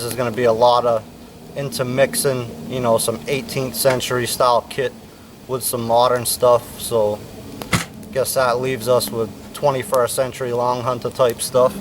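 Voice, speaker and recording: A relaxed American man talks his way through an outdoor cooking demo in an unhurried, rambling manner full of fillers. He stands a little away from the microphone, and faint outdoor noise sits under his voice. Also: mid-range voice, recorded from a distance. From United States. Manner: laid-back, rambling, many fillers. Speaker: bushcraft instructor. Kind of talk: casual outdoor cooking demonstration. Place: outdoors at a campfire.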